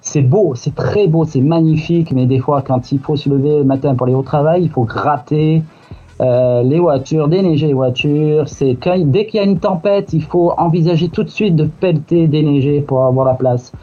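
A man from Marseille answers questions hesitantly, restarting often and laughing between thoughts. His voice is fairly high but mellow. The recording is dull and lo-fi, with faint music underneath. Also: normal speed. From Marseille, France. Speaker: guest. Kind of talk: interview answers.

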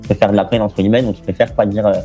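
Relaxed, chatty young Frenchman, low and mellow. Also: very fast, soft background music. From France. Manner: relaxed, chatty, many fillers and restarts. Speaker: guest. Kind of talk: casual interview talk.

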